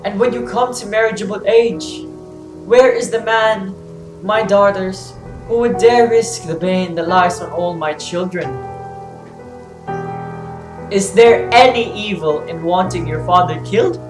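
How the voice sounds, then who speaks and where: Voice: mid-range voice, a little slow, lightly acted. An actor playing a blinded, grieving fallen king who pleads solemnly in a classical tragedy reading. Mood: grieving, pleading. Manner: mournful, pleading, solemn declamation. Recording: roomy echo, faint music behind.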